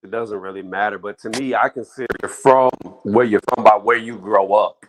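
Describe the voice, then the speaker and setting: A man hosting a casual boxing talk show, reasoning aloud in a relaxed conversational way. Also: lowish voice, brisk, background noise.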